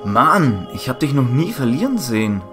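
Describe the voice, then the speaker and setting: A man's voice: mid-range voice, big pitch swings, moderate pace, music in the background, natural voice. A man playing an astonished young classmate in an audio drama. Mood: amazed. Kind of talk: German audio drama acting.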